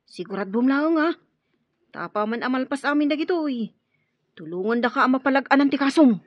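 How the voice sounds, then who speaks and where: A woman's voice: sits high, animated, fairly quick, dry recording. A woman playing a wary, level-headed accomplice with an Ilocano accent in a radio drama, cautioning as she speaks. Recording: dull, dry radio drama audio.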